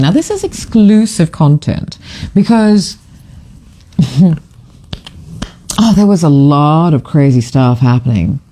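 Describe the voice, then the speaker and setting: Middle-aged photographer, from Fiji, warm mellow voice, rambling, gushing, casual talk to camera. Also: medium pitch. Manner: rambling and digressive, with many fillers and restarts; gushing, enthusiastic. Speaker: photographer and author presenting a book. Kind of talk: casual live commentary to camera.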